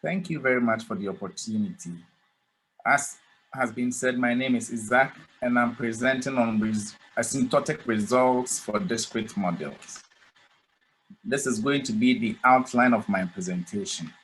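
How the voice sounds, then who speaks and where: A man from Ghana presents his research over a video call. He explains in a measured, steady way. His voice is middle-pitched. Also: slight background noise, rough recording. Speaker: conference presenter. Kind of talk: academic presentation.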